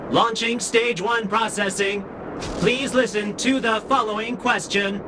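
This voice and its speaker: A man's voice: sits high, fairly even intonation, measured pace, noisy background. A man playing an impassive robot gatekeeper in a game, speaking in clipped procedural announcements. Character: stern impassive robot gatekeeper running certification protocols. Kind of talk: scripted game cutscene dialogue.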